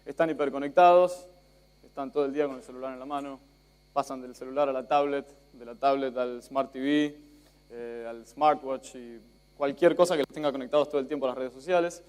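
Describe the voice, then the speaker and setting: A man's voice: mid-range voice, fairly even intonation, brisk, a little mellow, clear, resonant tone. A young Spanish-born man speaking with an Argentine accent, giving a chatty conference talk with fillers and self-jokes. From Spain. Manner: chatty, self-joking, many fillers and restarts.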